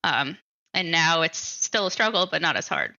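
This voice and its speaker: A woman's voice: sits low, big pitch swings, moderate pace, sharp tone, no room sound, lo-fi sound. A woman in her twenties giving candid, informal interview answers as a guest, peppered with filler phrases like "you know". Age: 20s. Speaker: interview guest, PhD student.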